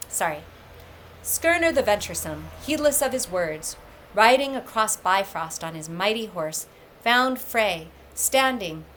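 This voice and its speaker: A woman reading a myth aloud in expressive, deliberate storybook narration, with noise in the recording. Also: medium pitch, rough recording. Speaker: story reader for a public library. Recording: clear background noise.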